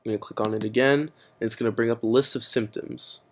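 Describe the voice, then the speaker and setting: A man's voice: mid-range voice, fairly even intonation, quick pace, slight background noise. A teenage boy presenting a casual computer tutorial, explaining steps with frequent fillers. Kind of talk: screen-recorded computer tutorial.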